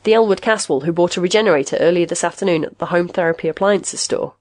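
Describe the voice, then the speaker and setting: A woman's voice: lowish voice, quick pace, darkish tone, no room sound, clean studio sound, natural voice. A woman voicing an earnest, diplomatic male investigator in an audiobook, polite but pressing. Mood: careful. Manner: polite, urgent, persuasive.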